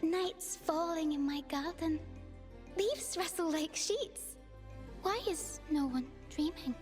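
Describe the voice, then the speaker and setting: A woman's voice: very high-pitched, slow pace, darkish tone. A woman voicing a shy, anxious young female dream fawn in a video game, sweet and wistful, with a timid, hesitant, apologetic way of speaking. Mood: dreamy, wistful. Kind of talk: video game character voicelines. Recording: game voice clips over music.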